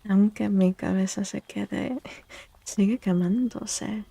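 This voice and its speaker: A woman hosting a soft-spoken ASMR livestream, hushed and breathy, often slipping into whispers and gently repeating phrases. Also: mid-range voice, steady tone, takes it slowly, dry recording. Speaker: livestream host, tarot reader. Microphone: close microphone in a dry space.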